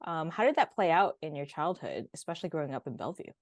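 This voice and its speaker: A woman hosting an interview, asking curious questions with soft reactions. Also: low-pitched, sing-song, fast talker, no echo, clean studio sound. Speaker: host. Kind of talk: casual interview.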